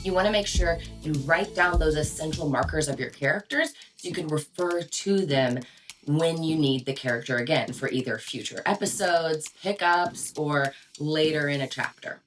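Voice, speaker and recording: A woman's voice: low-pitched, normal speed, faint music behind. A woman hosting and coaching voice-over in a casual, chatty, emphatic instructional style. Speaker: voice-over coach and show host. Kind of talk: casual instructional video chat.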